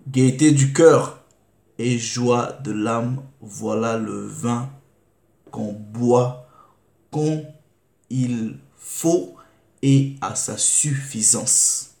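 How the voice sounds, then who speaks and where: A man preaching, reading scripture slowly and deliberately and asking rhetorical questions. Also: mid-range voice, gravelly, a little mellow. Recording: echoey room.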